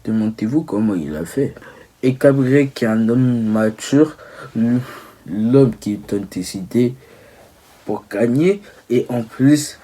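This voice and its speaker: A young man giving casual, teasing video commentary, halting and correcting himself as he goes. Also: medium pitch, lively intonation, warm tone. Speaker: video creator.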